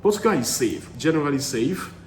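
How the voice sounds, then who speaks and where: A man's voice: fairly high pitch, animated, a little fast. A man giving study-abroad advice in a casual talk, earnest and emphatic, repeating key phrases for stress. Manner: frequent restarts and fillers, earnest. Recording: echoey room with faint background noise.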